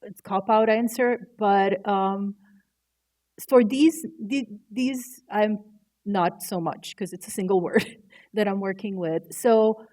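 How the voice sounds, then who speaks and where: A woman's voice: mid-range voice, takes it slowly, mellow. A woman whose first language is Croatian, an artist and typographer answering panel questions in a thoughtful, candid way. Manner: thoughtful, searching for words, candid. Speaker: artist and typographer, university educator.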